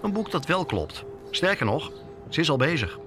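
A man with a Netherlands Dutch accent narrates a scripted podcast as a reporter and host. His pitch moves in a lively way as he tells things personally and asks rhetorical questions. Music plays clearly behind him. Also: normal speed.

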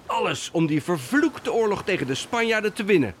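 A high male voice as a worried, bitter seafarer in an audio drama. The pitch swings widely, over faint background noise. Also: big pitch swings, a little fast, fairly bright tone. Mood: anxious, bitter. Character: uneasy, embittered seafarer wary of a deadly gamble. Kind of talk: historical radio play acting.

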